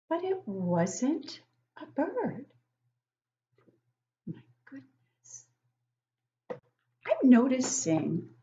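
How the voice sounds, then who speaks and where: An older woman reads a picture book aloud to young children like a teacher, expressively, pausing to ask them questions. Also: mid-range voice, a little mellow, highs cut off. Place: living room at home, indoors.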